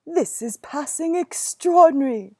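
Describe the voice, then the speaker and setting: A woman's voice: high-pitched, slow, warm tone, dry recording, pitched above the performer's own voice. A woman reading aloud, voicing an anxious old male tailor who laments in old-fashioned speech. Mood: astonished. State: feverish in parts.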